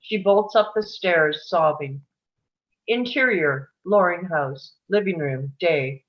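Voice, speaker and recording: A woman's voice: fairly low pitch, slow, lo-fi sound. A woman reading stage directions as scripted narration at a live table read.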